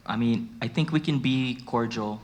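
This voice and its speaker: A man interjecting as an official at a public meeting, apologetic and halting. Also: medium pitch.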